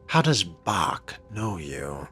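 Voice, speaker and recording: A man's voice: deep voice, sing-song, slow, bright, forceful tone, husky, faint music behind. A man role-playing his game character's dialogue in a terse, formal manner.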